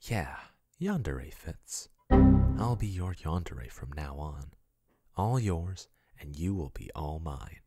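Guy doing a low husky yandere boyfriend asmr voice, swoopy tone, soft and clingy, dry close sound. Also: no room sound, heavily acted. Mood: possessive. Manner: soft, doting, then coolly possessive. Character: obsessive male chatbot-turned-human yandere lover.

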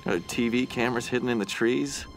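A man's voice: medium pitch, brisk, soft background music, close to an everyday voice, low-quality recording. An actor playing a nervous, wisecracking, self-doubting young man in a casual game monologue. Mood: uneasy joking.

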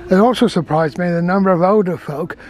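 High-voiced older man chatting casually. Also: a little fast, crowd noise. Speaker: interviewer filming a vlog. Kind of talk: casual chat, reminiscing and questioning. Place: outdoors on a seafront.

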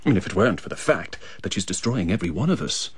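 A man's voice: low voice, lively intonation, fast, slightly rough, no room sound, clean studio sound. A man with an English accent playing a troubled, conflicted government minister in a radio drama, confiding, hesitant and wry.

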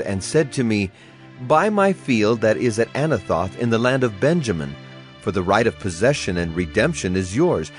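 An actor playing a solemn Hebrew prophet, proclaiming oracles earnestly in a dramatized scripture reading. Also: male voice, lowish voice. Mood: earnest recounting. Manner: declamatory, earnest. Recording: music behind the voice.

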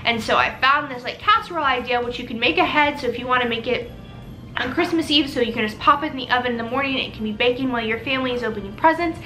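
A young woman chatting casually through a home cooking video, upbeat and full of fillers, recorded at home with soft music behind. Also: high voice, fast talker, poor audio. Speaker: cooking vlogger. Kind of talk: casual cook-along narration. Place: living room at home, indoors.

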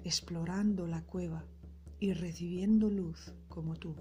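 Slow, low-voiced woman guiding meditation over music. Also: steady tone. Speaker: guided meditation narrator. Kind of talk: guided meditation, scripted narration. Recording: loud percussive meditation music behind voice.

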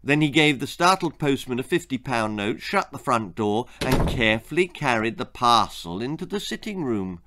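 A man in his sixties with an English accent, narrating a children's story in a theatrical, expressive manner. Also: mid-range voice, moderate pace, few pauses. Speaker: author reading own children's story. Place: small book-lined room indoors.

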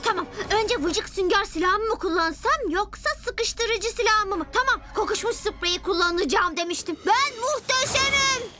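An actor voicing a gloating, pompous boy villain inventor in an animated children's cartoon. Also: very high-pitched, moderate pace, hardly any pauses.

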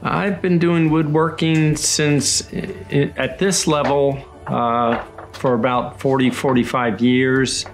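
An older man, a retired engineer, reflecting slowly on his woodworking in a documentary interview, with many pauses and restarts. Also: mid-range voice, fairly even intonation, vocal fry, faint music behind. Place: woodworking shop in a farm building.

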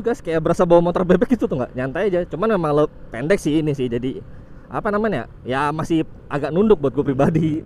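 A young man chats loosely through a motovlog ride, relaxed and informal. His voice sits at an ordinary middle pitch. Faint road and traffic noise runs under the helmet-mounted mic. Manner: chatty, relaxed, informal with frequent "guys". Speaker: motovlog host. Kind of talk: casual motovlog commentary while riding. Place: on a motorcycle on a city road outdoors.

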